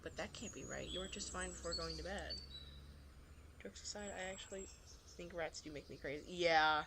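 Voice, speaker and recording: A woman's voice: lowish voice, normal speed, very noisy. A woman streaming a visual novel, switching between casual chat and reading the narration aloud, sometimes stumbling and correcting herself.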